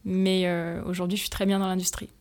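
A woman's voice: on the low side, level tone, fairly quick, a little mellow. A woman in her twenties, from France, answering interview questions about her work with many hesitant fillers and careful explanations. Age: 20s. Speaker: interview guest, factory team manager.

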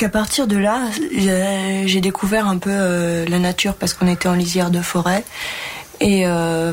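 Young woman from Paris, halting account. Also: lowish voice, slight background noise. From Paris area, France. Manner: halting, many "euh" fillers. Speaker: shepherdess trainee. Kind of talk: personal account.

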